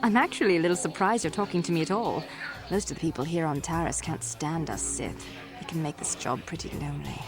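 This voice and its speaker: Young junior officer, friendly, chatty, lowish voice, game dialogue, clear music behind. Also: female voice, a little fast. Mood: friendly, wistful. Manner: chatty, open, casual. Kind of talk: video game dialogue acting.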